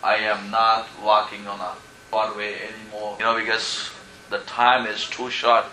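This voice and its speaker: A man's voice: fairly low pitch, level tone, unhurried, sharp tone, a little hiss or hum, low-quality recording. A man from Pakistan, preaching a sermon in church, earnest and full of "you know" and calls of "Amen?". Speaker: pastor.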